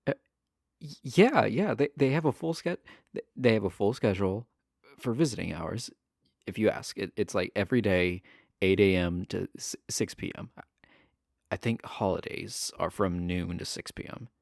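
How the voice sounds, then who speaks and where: An actor playing a shy, adorkable imprisoned villain in a romance audio roleplay, nervous, earnest and stammering. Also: male voice, on the low side, normal speed, creaky, darkish tone, no room sound, clean studio sound. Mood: flustered, eager. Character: shy, adorkable imprisoned male villain, smitten with pen pal.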